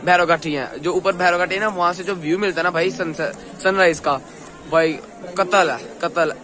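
A hoarse young man vlogging excitedly to a phone held at arm's length, outdoors at night and in a busy eatery. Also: high voice, moderate pace. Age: young adult. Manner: chatty, excited, talks straight to the viewer. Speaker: travel vlogger. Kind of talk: casual vlog to camera. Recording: crowd noise in the background.

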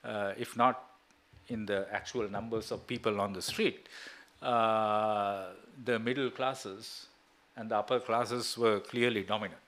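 A middle-aged man from Sri Lanka answering at an academic seminar, haltingly, with many filler tags like a habitual check-in. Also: lowish voice, steady tone, a bit bright. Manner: halting, with frequent "you know" and "right?" tags. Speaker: seminar speaker. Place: small seminar room indoors. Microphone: gooseneck table microphone.

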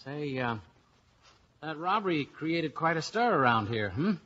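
An actor playing a breezy, wisecracking American detective in an old-time radio drama. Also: male voice, on the high side, big pitch swings, measured pace, sharp tone, slight background noise, exaggerated voice. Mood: casual, breezy. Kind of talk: 1940s-50s radio detective acting and narration. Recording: dull old radio recording, music behind.

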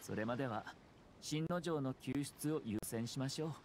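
A man voicing a composed, calculating young noble lord in an anime game, speaking formally and with measured politeness. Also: medium pitch, darkish tone, slight background noise, natural voice. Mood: calm, strategic. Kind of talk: anime-style video game dialogue.

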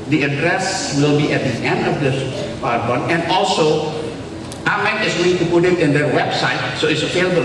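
An older man from Indonesia, speaking as a panelist at a conference and telling stories as he walks the stage with a handheld mic. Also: a little high. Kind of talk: conference talk to a large audience. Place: large conference hall stage. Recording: echoey hall, far from the mic, noisy.

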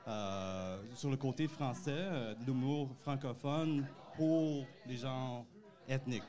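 A man in his forties, a native English speaker talking in French as a comedian guest on a podcast, pausing often with fillers into a handheld mic. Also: mid-range voice, darkish tone. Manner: hesitant, many "euh" fillers. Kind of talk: casual interview. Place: dark podcast studio.

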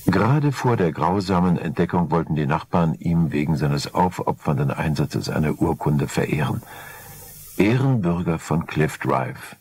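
An older man narrating a crime radio play in a measured, dry way, from a 1980 record. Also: extremely low pitch, expressive, a little mellow, breathy edge. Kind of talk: scripted crime story narration. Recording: 1980 vinyl record transfer.

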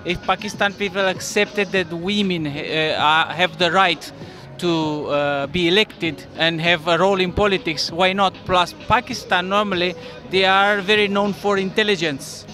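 Earnest middle-aged man, bright voice. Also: sits high, steady tone, normal speed, soft background music. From Spain. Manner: earnest, explains his view at length. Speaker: passerby interviewee. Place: busy city square outdoors. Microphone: interviewer's handheld mic.

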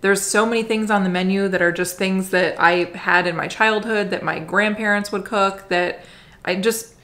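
A woman's voice: medium pitch, fairly even intonation, normal speed, fairly bright tone. A woman in her thirties from Florida, co-hosting a vlog from her living room couch and gushing about restaurant food in casual chat. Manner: enthusiastic, gushing food descriptions with frequent likes. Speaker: vlog co-host.

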